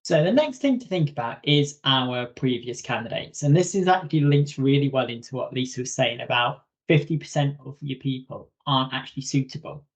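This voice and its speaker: A man giving an informal instructional webinar presentation as a software trainer. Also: medium pitch, a little fast, few pauses. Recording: slightly dull lo-fi webinar audio.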